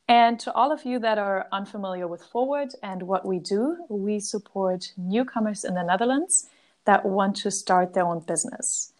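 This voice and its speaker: A woman speaks as the host of an interview podcast. Her voice is of medium pitch, and her delivery is conversational, moving between explaining and asking.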